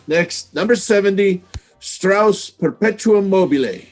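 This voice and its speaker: Man playing a high-pitched, commanding conductor. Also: animated, background noise. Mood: weary, commanding. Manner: brisk, commanding, clipped. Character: weary, persistent orchestra conductor barking instructions. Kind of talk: old time radio drama acting.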